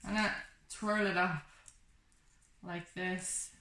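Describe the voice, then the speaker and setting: A woman's voice: lowish voice, steady tone, slow pace, pushed and bright. Echoey woman teaching a craft. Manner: informal, reassuring step-by-step explaining. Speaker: craft workshop instructor. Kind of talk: casual hands-on craft tutorial. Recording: echoey room with background noise, clicks.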